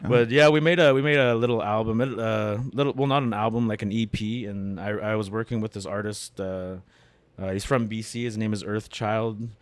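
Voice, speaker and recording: A man's voice: on the low side, steady tone, a little fast, clear, resonant tone, no room sound. A middle-aged man from Canada, a comedian and beatmaker, chatting as a guest in a cafe with many fillers and restarts. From Canada, born in Winnipeg. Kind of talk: casual interview conversation.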